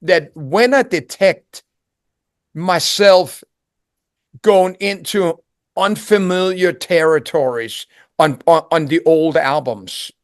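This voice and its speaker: An older man with a Danish accent, speaking in a fairly high voice for a man. He talks reflectively, often restarting and repeating words as he searches for phrasing. The recording is dry and close. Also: slow pace, no room sound. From Denmark. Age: 60s. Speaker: interview guest, singer. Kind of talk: reflective conversational interview.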